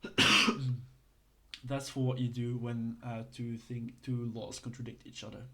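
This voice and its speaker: A man's voice: mid-range voice, little pitch movement, unhurried, heavy background noise. A man from Belgium, giving a casual, hesitant lesson full of fillers and restarts. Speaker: instructor.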